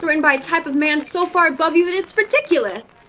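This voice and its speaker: A woman's voice: very high voice, quick pace, very noisy. A woman playing a proud, scornful young shop employee in a vintage-style romantic comedy radio play, with a cutting, theatrical delivery. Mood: indignant.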